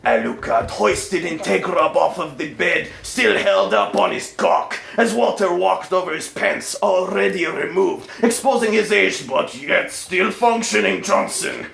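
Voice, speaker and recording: A man's voice: sits high, unhurried, gravelly, few pauses, fairly bright tone, echoey room. A man reading a story aloud as a theatrical comic performance. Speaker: storyteller in a comedy troupe.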